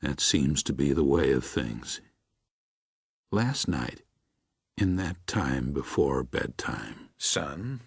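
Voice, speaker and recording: A man playing a gentle, reflective preacher of seventy-six in a first-person audiobook, with a measured, husky, aged voice. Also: extremely low pitch, big pitch swings, no room sound, studio quality, exaggerated voice. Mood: tender. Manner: measured, tender, musing. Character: gentle, reflective 76-year-old Midwestern preacher writing to his son. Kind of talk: first-person audiobook narration with acted dialogue.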